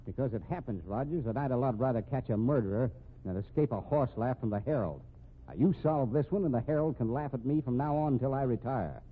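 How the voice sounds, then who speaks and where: An actor with an American accent playing a gruff, irritable middle-aged police captain who grumbles bluntly and sardonically in a 1950s radio drama. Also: male voice, medium pitch, fast, narrow, tinny audio, close to an everyday voice. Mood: earnest, insistent. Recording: thin, noisy 1950s radio recording.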